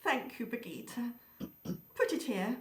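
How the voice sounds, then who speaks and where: High, roomy, grieving Baroness played by a woman. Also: expressive. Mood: sorrowful. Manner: formal, halting. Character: grieving gracious Baroness, a loyal motherly older woman. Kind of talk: radio play acting. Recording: roomy, distant microphone.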